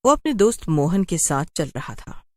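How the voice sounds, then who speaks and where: A woman's voice: fairly low pitch, sing-song, normal speed, darkish tone, dry recording, studio quality. A woman narrating a scripted cartoon story in a dramatic storytelling style.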